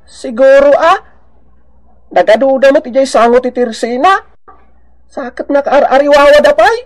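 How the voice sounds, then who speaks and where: A woman's voice: high-pitched, normal speed, soft background music, lightly acted. A woman voicing a young schoolchild of a poor family in a radio drama, pleading and reluctant. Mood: tattling.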